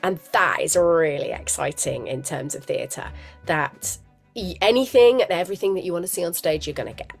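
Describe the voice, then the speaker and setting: An actress, a parent, talking in a chatty, enthusiastic way as an interview guest, husky from a cold. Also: female voice, on the low side, normal speed, faint music behind. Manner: enthusiastic, chatty, laughs often. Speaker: musical theatre actress, guest.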